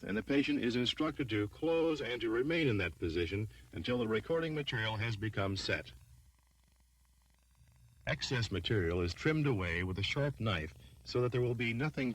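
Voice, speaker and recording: Low-voiced man narrating a training film. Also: rough recording. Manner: formal, measured, impersonal instructional delivery.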